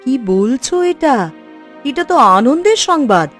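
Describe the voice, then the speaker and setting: A woman voicing a caring middle-aged village wife in a cartoon, speaking in a supportive, expressive way. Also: high voice, slow pace, faint music behind, full character voice. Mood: warm supportive. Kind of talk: cartoon voice acting.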